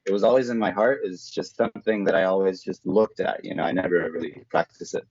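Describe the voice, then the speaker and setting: A man's voice: low-pitched, fast talker, darkish tone. A man from Slovakia, a native Slovak speaker, chatting casually in an interview as a former pro athlete, searching for words as he goes. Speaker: former professional road cyclist turned mountain bike racer, interviewee. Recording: dull lo-fi audio.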